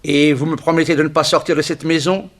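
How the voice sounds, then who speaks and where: An actor playing a weary, kindly old judge in a 1960s French radio drama, measured and formal. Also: male voice, fairly high pitch, little pitch movement, fairly bright tone. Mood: earnest. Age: older.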